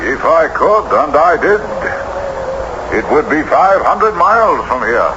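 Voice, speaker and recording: A man's voice: on the high side, sing-song, measured pace. An actor playing a matter-of-fact local man in a radio drama, with emphatic, old-fashioned formal speech. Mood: insistent. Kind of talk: 1970s radio drama acting. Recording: dull noisy old radio recording.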